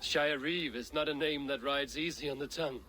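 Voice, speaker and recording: A male actor playing a calm, bold Viking clan leader in a video game, speaking formally and plainly. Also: mid-range voice, slight background noise, natural voice. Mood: admiring, direct. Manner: formal, measured, plain-spoken. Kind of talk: video game dramatic dialogue.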